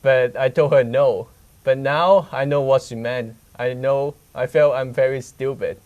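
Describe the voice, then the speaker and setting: A man's voice: mid-range voice, steady tone, unhurried, a little mellow, highs cut off. A young man from Hong Kong, an English speaker, telling candid stories as a student reporter in a chatty news segment. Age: young adult. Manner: simple non-native English, candid storytelling.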